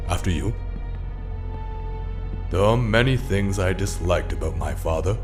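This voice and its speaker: A man playing a smug, scheming scientist businessman villain, speaking in a suave, wordy, theatrical and mocking way. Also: deep voice. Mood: reflective, smug. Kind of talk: audio drama villain acting.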